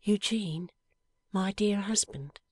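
Lady doing a sweet devoted young wife, calm and earnest, a bit breathy. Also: mid-range voice, a little slow, no echo, voice pushed up. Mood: loving, earnest. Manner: gentle, earnest, pleading. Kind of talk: audiobook character voice.

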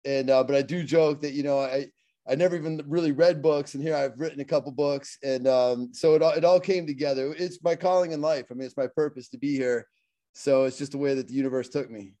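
A man's voice: medium pitch, steady tone, a little fast, mellow. Earnest man with a Pittsburgh accent. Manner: earnest, repeats words and restarts often. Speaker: guest, motivational speaker. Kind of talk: casual interview talk.

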